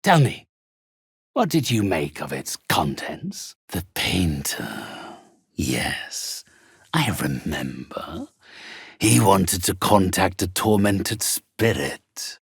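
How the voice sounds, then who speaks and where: An actor playing a sinister, haughty undead necromancer in a video game, with a raspy voice and formal, drawn-out, menacingly polite delivery. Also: male voice, fairly low pitch, no echo, studio quality, exaggerated voice. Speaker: spirit medium. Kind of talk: theatrical video game villain acting.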